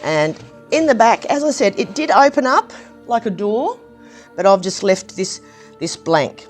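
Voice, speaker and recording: A woman with a slightly croaky voice, chatting casually through a hands-on review of a building set, with plenty of ums and restarts. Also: medium pitch, sing-song, measured pace, faint music behind. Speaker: brick set reviewer, channel host.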